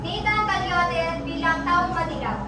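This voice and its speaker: A woman's voice: very high voice, measured pace, heavy background noise. A woman reading out scripted narration as announcer for a school stage play. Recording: echoey, distant, loud music over voice.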